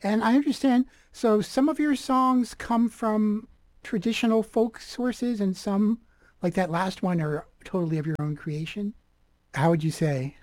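Mellow-voiced woman radio host. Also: mid-range voice, unhurried, no room sound. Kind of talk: radio interview.

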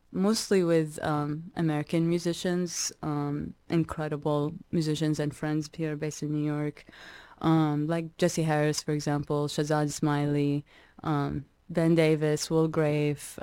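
A young adult woman from Iraq, a musician guest in a radio interview, talking reflectively with many pauses and restarts. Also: low-pitched, little pitch movement, dark tone, clean tone, no echo.

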